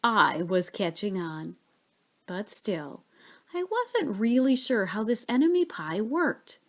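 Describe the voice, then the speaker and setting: A woman reading a children's story aloud expressively, with dramatic pauses and stretched key words. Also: medium pitch, big pitch swings.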